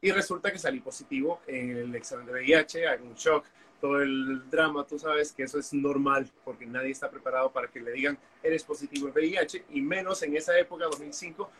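A man's voice: mid-range voice, fairly even intonation, brisk, a bit bright. A man, a guest telling his story candidly in an interview over a video call. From Honduras. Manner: candid, storytelling, frequent fillers. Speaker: guest, activist. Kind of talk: interview conversation.

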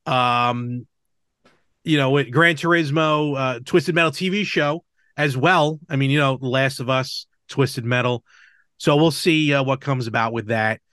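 A man hosting a casual chat podcast, talking in a halting, measured way with small asides and self-corrections. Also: medium pitch, fairly bright tone, smooth, dry recording, studio quality. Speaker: podcast host. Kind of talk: casual chat about games and film.

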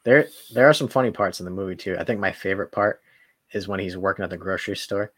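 Lowish-voiced man in casual, dry-sounding chat. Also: quick pace, dry recording. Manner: informal, loose storytelling with many "like"s. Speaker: podcast co-host.

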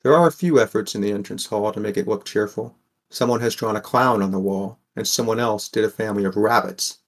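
A man's voice: fairly low pitch, a little fast. A man playing a wary, sardonic sixteen-year-old boy who narrates an audio drama in the first person. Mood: wry, reflective.

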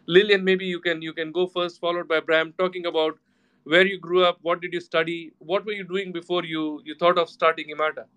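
A man hosts a live podcast chat in a bright, forceful, fairly high voice. He keeps doubling words and restarting his sentences as he asks his guests questions. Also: little pitch movement, brisk. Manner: repeats words and restarts phrases often. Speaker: podcast host.

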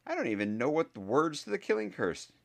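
A man voicing a clever, quick-tongued eleven-year-old boy wizard who sounds exasperated. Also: on the high side, very animated, creaky, pitched above the performer's own voice. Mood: indignant.

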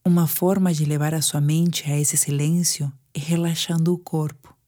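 A woman with a Brazilian Portuguese accent guiding a sleep meditation in a soothing, hushed manner with pauses. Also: sits low, flat delivery. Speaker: meditation guide. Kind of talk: guided sleep meditation narration.

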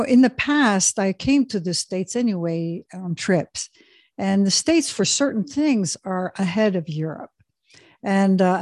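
A woman's voice: mid-range voice, a little mellow, dry recording. Woman from Switzerland, middle-aged, podcast guest, hesitant with fillers, dry close mic. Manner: hesitant, many fillers and restarts. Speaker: guest, executive coach. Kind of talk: casual interview talk.

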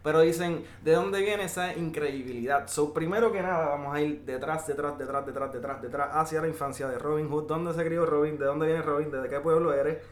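A man's voice: fairly high pitch, fairly even intonation, a little fast, few pauses, reverberant, a little hiss or hum. A man with a Puerto Rican accent hosting a casual podcast, speaking in a playful, slangy way. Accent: Puerto Rican Spanish. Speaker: podcast host. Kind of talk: casual podcast interview chat.

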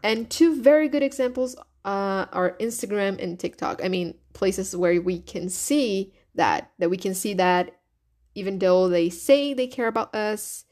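A young woman with a Brazilian Portuguese accent talks straight into her podcast mic. She speaks English as a second language and keeps it animated, asking her listeners questions as she goes. Her voice sits in an ordinary middle range for a woman. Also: medium pitch, measured pace. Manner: animated, frequent laughs, rhetorical questions. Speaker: podcast host. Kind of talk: solo opinion podcast.

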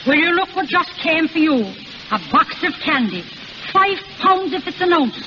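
A woman playing an excitable, blunt middle-aged working-class mother in 1930s radio drama. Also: extremely high pitch, moderate pace. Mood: astonished. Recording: muffled noisy 1930s radio recording.

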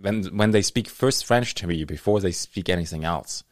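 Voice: low voice, moderate pace, dry recording, clean studio sound. A podcast host with a German accent, a native German speaker, chatting casually, laughing often and searching for English words. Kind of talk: casual conversational interview.

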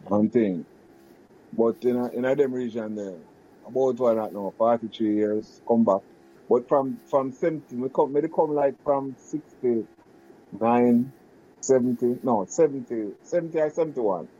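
A Jamaican man in his fifties talks through old memories in a mellow, dark voice, slipping into patois and restarting his sentences often. The recording sounds a little dull and muffled. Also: mid-range voice, husky. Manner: patois-laced, halting, many restarts and fillers. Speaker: guest recalling childhood. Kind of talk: casual reminiscing chat.

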